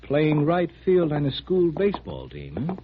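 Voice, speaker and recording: An American actor playing a brisk, guarded army major in a 1950s radio drama, questioning in a clipped, official, probing way. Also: male voice, on the high side, wildly swooping pitch. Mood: casually probing. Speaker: military security officer. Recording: muffled, hissy old radio recording.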